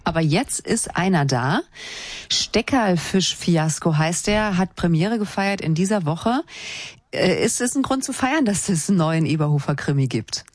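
A woman's voice: on the low side, animated, normal speed, dry recording. A woman presenting on the radio in a conversational style, introducing films and putting questions as host.

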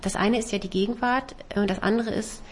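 A woman novelist, a native Russian speaker raised in Germany, answering interview questions in a reflective way, often pausing and restarting as she searches for words. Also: medium pitch, steady tone.

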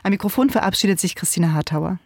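A woman hosting a radio culture interview, asking calm, measured questions. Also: lowish voice, dry recording, clean studio sound. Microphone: studio microphone.

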